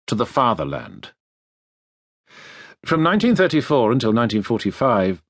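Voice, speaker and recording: A man reading a nonfiction history audiobook as a narrator, with measured, deliberate phrasing, close to a studio microphone. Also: medium pitch, no room sound. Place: dry recording studio.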